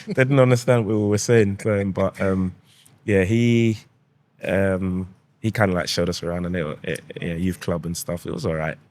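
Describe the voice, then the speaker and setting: Man, forties, Jamaican-born, London accent, lowish voice, easygoing podcast guest. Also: dry recording. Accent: London English with Jamaican roots. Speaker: guest, restaurant founder. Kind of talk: casual podcast interview.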